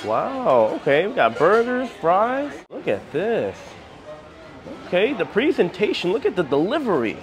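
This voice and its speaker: A man's voice: high-pitched, wildly swooping pitch, unhurried, soft background music. An American man in his thirties giving a casual walking-tour commentary as a travel vlogger, recorded on a handheld camera. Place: walking around a cruise ship, decks and indoor areas. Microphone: handheld camera at arm's length.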